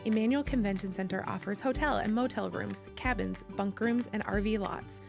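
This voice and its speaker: Female commercial announcer, noticeable vocal fry, scripted read over clear music. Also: medium pitch, normal speed. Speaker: advertisement announcer.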